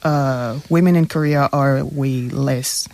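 A woman's voice: a bass voice, steady tone, takes it slowly, darkish tone, no echo. A young woman from Quebec, a graduate student guest, answering earnestly on a radio talk show while searching for words. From Quebec, Canada.

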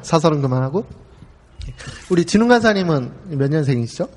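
Man in his forties, talk host, chatty with filler words, slightly high voice, long hall echo. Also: expressive, brisk, a little mellow, husky. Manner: chatty, frequent filler "ye", laughs easily. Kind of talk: casual podcast talk.

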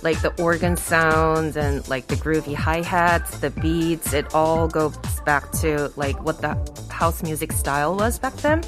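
A woman's voice: low voice, measured pace, few pauses. A woman in her thirties from Korea with a slight North Carolina accent, an easygoing guest DJ who laughs often. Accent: American Southern, North Carolina. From South Korea. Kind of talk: casual radio interview. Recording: studio with clear music behind.

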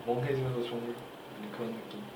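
A man's voice: medium pitch, steady tone, fast talker. A young man appearing as a podcast guest, telling stories in an easygoing, casual way. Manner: easygoing storyteller. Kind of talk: casual group chat. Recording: roomy, distant mic, noisy.

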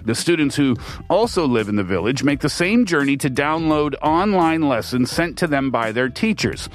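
A man's voice: a little high, animated, moderate pace. A man from the East Coast of Canada hosting a radio show from a studio, explaining steadily with the odd filler. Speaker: radio host. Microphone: studio mic.

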